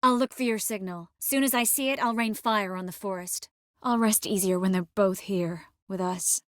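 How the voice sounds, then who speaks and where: An actress voicing a young sorceress in a video game, gentle, earnest and determined, with a measured, expressive delivery. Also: female voice, mid-range voice, dry recording, studio quality, lightly acted. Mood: focused, determined. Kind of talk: video game character voice lines.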